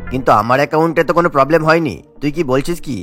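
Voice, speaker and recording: A man's voice: on the high side, very fast, fairly bright tone, soft background music, lightly acted. A man voicing a stern, thrifty older husband who scolds his wife in an exasperated way. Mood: scolding.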